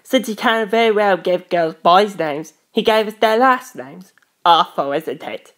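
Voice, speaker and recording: A woman's voice: mid-range voice, animated, unhurried, lightly acted. A woman voicing a brash, teasing teenage older sister in an audiobook, chatty, blunt and wry. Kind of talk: audiobook character voice.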